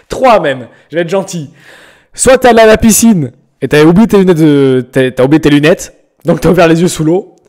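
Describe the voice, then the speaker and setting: High-voiced joking young French streamer. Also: male voice, lively intonation, moderate pace. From France. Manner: joking, slangy, teasing, breaks into loud outbursts. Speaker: streamer reacting to viewers' music. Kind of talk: casual live-stream chat.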